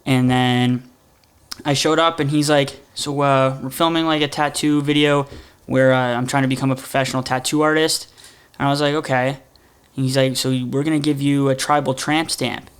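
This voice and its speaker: A young man in his twenties, a guest on a talk show, tells a story casually and rambles a little. His voice sits at a middle pitch. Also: little pitch movement, normal speed, smooth. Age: 20s.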